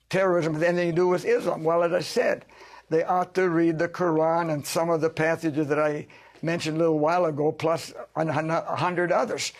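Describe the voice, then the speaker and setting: An older man answering interview questions as a sociologist, emphatic and lecturing, asking himself rhetorical questions. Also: on the high side.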